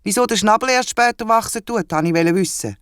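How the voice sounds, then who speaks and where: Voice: mid-range voice, fairly quick, a bit bright, clear, resonant tone, no room sound, studio quality, voice pushed up, lightly acted. A storyteller voicing a nosy, insistent, inquisitive hedgehog with a Swiss German accent in a children's audio story. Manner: persistent, inquisitive. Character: nosy, insistent hedgehog who must know everything.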